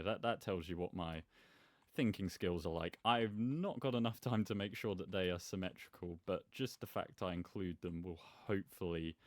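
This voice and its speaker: Man, gaming host, low pitch, dry close sound, rambling, self-deprecating, casual commentary. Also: no room sound.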